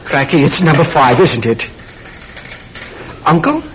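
An actor playing an eager, inquisitive young nephew in an old radio drama, pestering and full of exclamations. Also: male voice, fairly high pitch, sing-song, measured pace. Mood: excited, amazed. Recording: dull, noisy old radio recording.

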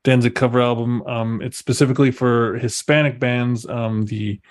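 A man's voice: mid-range voice, steady tone, moderate pace. A man from the United States, a musician guest on a podcast, talking casually with many fillers.

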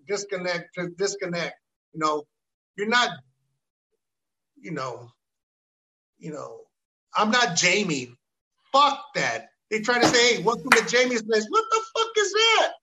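A man holds forth on a podcast. His voice is high for a man and bright, almost sharp. He talks with emphatic energy and slips into Spanish. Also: low-quality recording. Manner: animated, emphatic, mixes Spanish into English, lots of "you know". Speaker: podcast host. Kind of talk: casual podcast chat.

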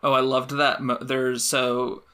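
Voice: mid-range voice, flat delivery, a little slow, pushed and bright, clear, resonant tone. A podcast co-host chatting casually and analytically about a film, in a conversational, thinking-aloud way.

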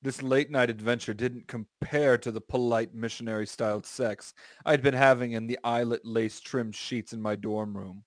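A man's voice: medium pitch, steady tone, normal speed, hardly any pauses, no room sound. A man hosting a comedy podcast, reading a story aloud and breaking off into banter with friends.

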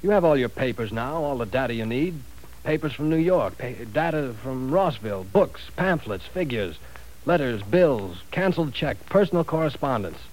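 A man's voice: mid-range voice, expressive, moderate pace, a little mellow, close to an everyday voice, low-quality recording. A man playing a conscience-stricken professor in an old radio drama, bitter and tense. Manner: bitter, tense, rising to outbursts. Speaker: teacher. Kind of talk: 1940s radio acting. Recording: faint hiss of an old radio recording.

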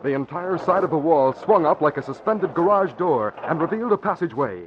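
A male narrator of a vintage radio serial, his voice a little high for a man. He tells the story in a scripted, urgent, dramatic way. The recording is dull and old. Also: lively intonation, a little fast, a little hiss or hum.

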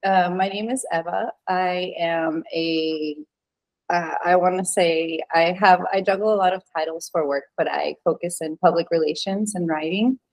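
A woman's voice: on the low side, steady tone, normal speed, low-quality recording. A woman from Venezuela who grew up in Utah, chatting earnestly as a podcast guest, with lots of fillers and easy laughs. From Venezuela, raised in Utah. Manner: warm, earnest, laughs easily, many fillers. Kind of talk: casual interview chat.

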